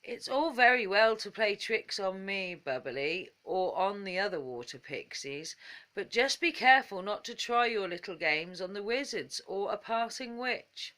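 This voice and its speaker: A woman's voice: medium pitch, lively intonation, a little slow, fairly bright tone. A woman voicing a sensible male pixie who warns and scolds his brother in a storybook. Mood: disapproving. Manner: warning, disapproving.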